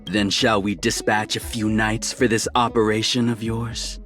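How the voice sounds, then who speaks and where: A man's voice: on the low side, little pitch movement, a little slow, a bit bright. A man playing a stern, skeptical knight captain in an English video game dub, formal and questioning. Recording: game music behind.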